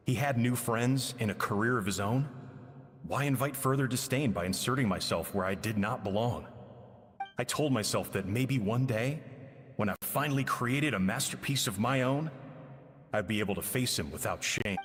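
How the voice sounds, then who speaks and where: An actor playing a regretful chef recounting his past with a lost friend in slow, somber narration. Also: mid-range voice, in a large hall, close to an everyday voice. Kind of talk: game cutscene narration.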